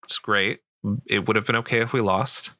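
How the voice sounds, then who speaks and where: A man playing a sweet, patient gamer boyfriend, softly and gently explaining a game in a casual, rambling roleplay. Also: on the low side, fairly even intonation, moderate pace, no echo. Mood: pleased, reassuring. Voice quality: soft, creaky voice. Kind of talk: roleplay ASMR, casual improvised game tutorial.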